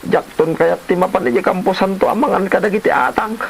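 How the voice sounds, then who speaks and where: A woman's voice: mid-range voice, quick pace, vocal fry, a little hiss or hum, close to an everyday voice. A woman acting a whiny, grumbling grown child who answers back, in an Ilocano comedy drama full of exclamations. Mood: indignant.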